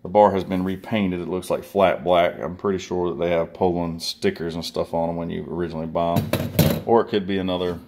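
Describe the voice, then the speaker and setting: A man with a low voice talks loosely and folksily to his viewers while he handles a chainsaw at a workbench. He rambles from one point to the next in casual chat. It was recorded on a handheld phone, with faint background hiss. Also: fairly even intonation, brisk, darkish tone. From southern United States. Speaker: vlogger reviewing a chainsaw. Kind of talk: casual unboxing talk. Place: workshop or garage indoors, workbench. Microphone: handheld phone camera.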